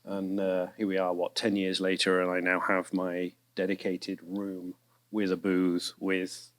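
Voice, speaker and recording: A man telling stories in a relaxed, self-deprecating way as a voice actor on a festival panel. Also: deep voice, unhurried. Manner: chatty, self-deprecating storyteller. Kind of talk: casual panel talk. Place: fine arts center stage.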